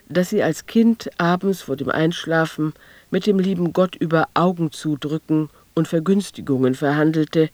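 A man's voice: fairly high pitch, darkish tone, no room sound, natural voice. An actor as a precise, matter-of-fact male narrator reading scripted radio drama narration. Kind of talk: scripted present-tense narration.

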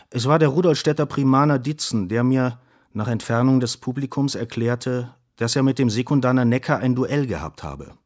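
A man's voice: medium pitch, lightly acted. Radio play: male actor as clinical doctor, mid-range voice, official and matter-of-fact report delivery. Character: male doctor, clinical, formal, detached examiner.

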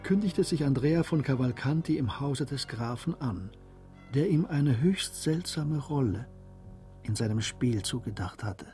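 An actor serving as the calm storyteller of a German radio drama, narrating literary prose in a mellow, husky voice. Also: male voice, medium pitch, a little slow, soft background music, natural voice.

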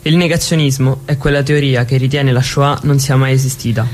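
An actor playing a chronicler, reading formally and declaratively in a scripted documentary drama. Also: male voice, medium pitch, steady tone, a little fast, a little hiss or hum, lightly acted. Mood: formal, stark. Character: male chronicler reading out records and threats.

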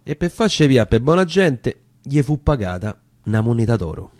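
Casting: male actor as soldier-narrator of a battle poem, Roman accent, dark relaxed tone, dramatic recitation. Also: mid-range voice, moderate pace, no echo, studio quality, lightly acted. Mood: tense narrating. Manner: expressive, storytelling. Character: male Italian volunteer soldier recounting a battle. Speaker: actor reciting dialect verse. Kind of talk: dramatic verse recitation, narrating a war tale.

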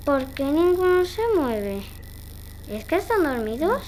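A woman's voice: sits high, big pitch swings, measured pace, darkish tone, a little hiss or hum, low-quality recording. A woman acting in an audio drama as a scared little girl looking for her mother, hesitant and childlike.

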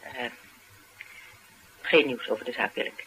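Give her a bright, forward voice with a dry, pointed edge: a wry, assertive woman doing her own investigating. It is natural radio-drama acting, with a little noise behind the recording. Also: rumbling low, measured pace, heavily acted, poor audio. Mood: guarded.

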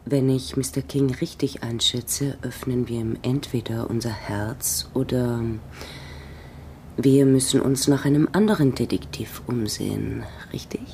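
A woman's voice: very deep voice, measured pace, creaky, dark tone, a little hiss or hum, exaggerated voice. An actress playing a cool, teasing, shrewd female spy in a German radio play. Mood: cool, confiding.

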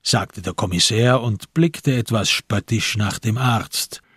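A man narrating a radio crime play in a husky voice, with measured, literary storytelling. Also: lowish voice, fairly bright tone, no room sound, studio quality. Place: dry radio studio.

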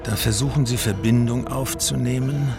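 A mid-pitched male voice from an actor narrates as a solemn chronicler in a radio play about ancient war. It rings with echo. Loud music plays around it. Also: fairly even intonation, moderate pace, creaky, lightly acted. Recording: echoing voice under loud music.

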